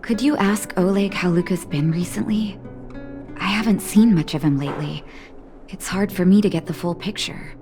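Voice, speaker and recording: An actress playing a calm, caring woman doctor in a video game, measured and gently admonishing, with a soothing way of talking. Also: medium pitch, natural voice. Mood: quietly concerned. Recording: guitar music behind the voice. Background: plucked guitar music.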